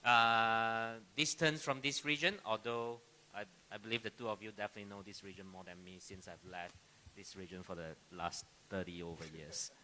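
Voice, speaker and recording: A middle-aged man from Malaysia introducing himself on a panel, speaking in a halting way with repeated words. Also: lowish voice, steady tone, sharp tone, rough recording. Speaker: panelist, academic and AI company founder. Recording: live stage microphone with clear background noise.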